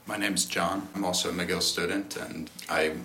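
A young man, a student, recounting events in an interview, in a flat manner with small restarts. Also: sits low, moderate pace, echoey room, a little hiss or hum.

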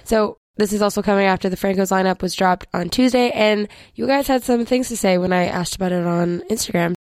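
A young woman from Quebec with a hoarse, worn-out voice, chatting casually as host of a music show. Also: mid-range voice, a little fast, darkish tone, dry recording. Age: young adult. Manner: chatty, rambling with fillers. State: hoarse, lost voice.

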